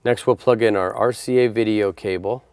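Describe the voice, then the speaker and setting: A man's voice: fairly low pitch, fairly even intonation, normal speed, darkish tone, clear, resonant tone, dry recording. A man presenting a how-to installation tutorial, explaining each step in a plain, methodical way. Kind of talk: step-by-step instructional narration.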